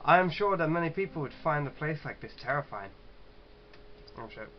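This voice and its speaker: A man casually hosting a let's-play, chatting and reading game text aloud in a halting, stumbling way. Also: fairly high pitch, expressive. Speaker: gaming channel host. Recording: dull lo-fi mic with clear background noise.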